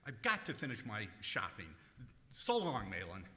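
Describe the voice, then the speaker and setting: Man acting a sharp, fussy old-radio comedy skinflint. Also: lowish voice, huge pitch swings, full character voice. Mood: chatty. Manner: indignant, hesitant, drawn-out comic delivery. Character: fussy, exasperated, penny-pinching male radio comedian out shopping. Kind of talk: old-time radio comedy acting, live stage revue. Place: live performance in a large museum room. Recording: echoey museum hall, live stage.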